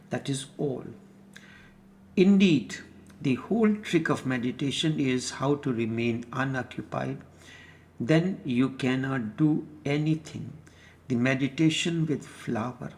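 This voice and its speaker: A man giving a guided meditation discourse as a spiritual teacher, speaking solemnly in deliberate, halting phrases with pauses. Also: medium pitch.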